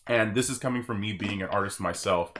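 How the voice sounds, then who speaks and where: Low-voiced man talking opinionatedly. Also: quick pace, a bit bright, a little hiss or hum. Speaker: podcast host and artist. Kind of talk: casual group podcast discussion.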